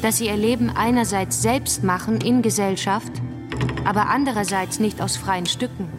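A woman's voice: medium pitch, normal speed, over music, close to an everyday voice. An actress playing a scornful, argumentative revolutionary woman who lectures bitingly in a German radio drama. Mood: scornful, lecturing. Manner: didactic, biting.

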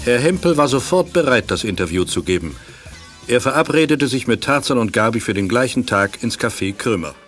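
A man's voice: medium pitch, animated, brisk, fairly bright tone, soft background music, close to an everyday voice. A man narrating a children's audio drama in a scripted storytelling style.

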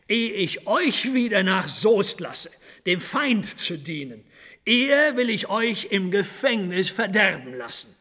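An actor playing a commanding, wily fortress colonel in a period radio drama, with deliberate pauses, hums and threatening politeness. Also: male voice, high voice, sing-song, pushed and bright, heavily acted. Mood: amused menace. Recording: long reverberant room.

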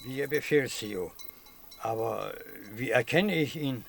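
A man's voice: medium pitch, lively intonation, a little slow, gravelly, slight background noise. An actor playing an old shepherd in a radio crime drama, loyal and deferential to his master. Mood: deferential, reassuring. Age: older. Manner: deferential, obliging. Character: humble, loyal old shepherd serving a mafia boss.

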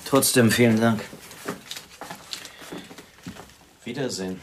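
An actor playing a young, broke, hard-drinking drifter in a radio crime drama, wry and self-deprecating. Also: male voice, medium pitch, brisk, very noisy, lightly acted. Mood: casual, mocking. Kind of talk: 1990s German radio crime drama acting.

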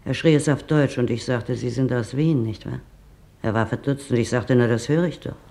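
A man playing a quiet, shaken witness who recounts a wartime arrest in a measured, detailed way, as in a radio documentary. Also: medium pitch, natural voice. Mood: agitated recounting. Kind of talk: dramatized documentary monologue in German.

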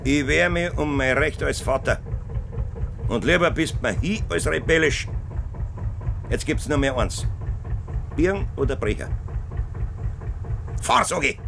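A man's voice: fairly high pitch, animated, slow, creaky, long pauses, fairly bright tone, full character voice. An actor playing a domineering, hot-tempered rural father in a German radio drama, speaking Bavarian dialect in a strained voice. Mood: angry, threatening. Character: domineering, furious father, a rural farmer, panicking. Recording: engine noise behind the voice.

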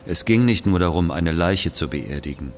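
A man playing an aging Algerian who confesses an old killing in a brooding, deliberate literary monologue. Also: low-pitched, expressive, slight background noise. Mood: grave, confessing. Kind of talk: literary monologue, dramatic audio narration.

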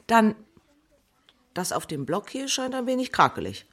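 A woman voicing a prim schoolteacher who speaks slowly and theatrically. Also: mid-range voice, sing-song, fairly bright tone, breathy edge. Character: prim primary-school teacher, middle-aged woman.

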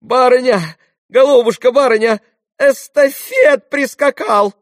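Male narrator as young maidservant, very high pitch, breathless, excited shouting, storybook acting. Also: unhurried, no room sound, clean studio sound. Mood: breathless joy. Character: breathless, overjoyed young maidservant shrieking news.

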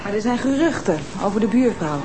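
A woman's voice: on the high side, lively intonation, fairly quick, noisy background, close to an everyday voice. A woman acting a matter-of-fact police detective, factual and direct in a TV crime drama. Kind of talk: naturalistic TV crime drama dialogue.

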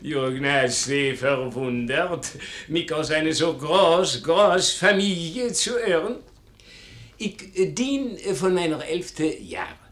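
A boastful French captain, discharged and broke, in a fairly high voice. He stumbles slowly and floridly through foreign phrases with a French accent. It is mid-century radio drama in a very roomy studio. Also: animated, a bit bright. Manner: halting broken German peppered with French, florid. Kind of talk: 1950s German radio drama acting.

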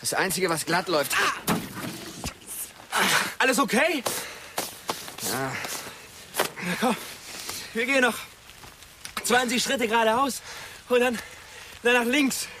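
Goofy stoned teen boy, slangy, high voice, radio drama with noisy scene behind. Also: lively intonation, takes it slowly, pauses often, exaggerated voice. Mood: edgy. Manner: slangy, youthful. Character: stoned, goofy, excitable teenage boy prankster. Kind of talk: naturalistic radio play dialogue.

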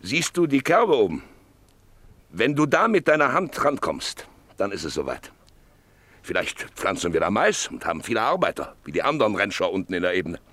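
A man's voice: lowish voice, sing-song, moderate pace, a bit bright, no room sound. An actor playing a proud, hopeful rancher father in a radio play, talking instructively and confidently to his son.

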